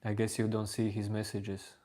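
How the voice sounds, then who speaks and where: A man with a Latvian accent, hosting a livestream and calmly answering chat questions about self-inquiry. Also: on the low side. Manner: unhurried, even, reading out chat names. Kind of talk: slow calm spiritual chat.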